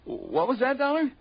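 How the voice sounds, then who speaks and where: A man's voice: very high voice, full character voice. An actor playing an evasive, stammering British man who feigns innocence in a 1960s radio drama. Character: evasive male British actor posing as a broker. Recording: muffled old radio broadcast recording.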